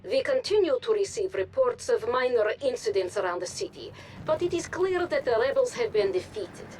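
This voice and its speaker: A woman's voice: on the high side, lively intonation, normal speed, darkish tone, faint music behind, natural voice, rough recording. A woman playing a polished, formal TV news anchor who reads state propaganda dramatically in a video game. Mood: formal, grave. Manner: formal, dramatic newsreader delivery. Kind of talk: scripted TV news broadcast.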